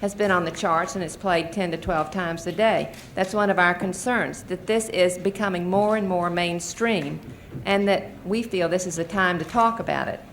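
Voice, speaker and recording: An American woman in middle age speaks as a witness at a formal hearing. Her voice is on the low side and her delivery is earnest and explanatory. The archival hearing-room recording has faint noise. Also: steady tone, moderate pace. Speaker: advocacy group witness and mother. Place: Senate committee hearing room.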